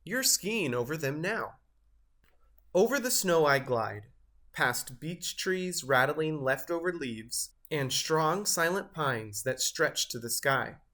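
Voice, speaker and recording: A man reading a children's picture book aloud, with expressive delivery and measured, careful phrasing. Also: fairly high pitch. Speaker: storybook reader.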